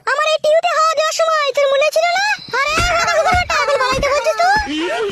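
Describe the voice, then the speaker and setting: A woman's voice: lively intonation, quick pace, pushed and bright, a little hiss or hum, full character voice. A woman voicing a foul-mouthed, scolding middle-aged mother-in-law in a comic cartoon. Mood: furious threats. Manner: shrill, abusive, theatrically threatening.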